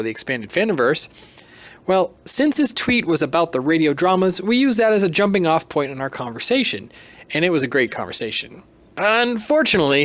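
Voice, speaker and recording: A man's voice: fairly high pitch, fairly bright tone. A man hosting a podcast solo, talking in a casual, chatty, self-deprecating and emphatic way. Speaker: podcast host.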